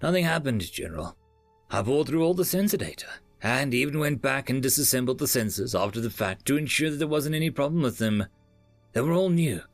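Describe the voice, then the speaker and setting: A man's voice: mid-range voice, no room sound, natural voice. A man voicing an anxious, exasperated male technician, earnest and pleading, in an audiobook. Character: anxious, exasperated male technician defending himself, chain-smoking. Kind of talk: audiobook character dialogue.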